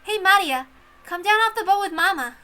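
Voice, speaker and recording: A woman's voice: very high voice, expressive, brisk, sharp tone, faint music behind, voice pushed up, exaggerated voice. A woman voicing a mother in a story, calling out to her small child in a singsong way.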